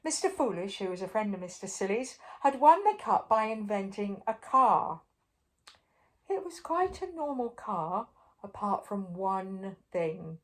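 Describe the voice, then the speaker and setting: An older woman reads a children's picture book aloud with a very lively, swooping melody to her voice. Her pitch sits in a middle range for a woman. She is playful and expressive, often breaking off to chat to the young listener. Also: a little slow. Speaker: storyteller.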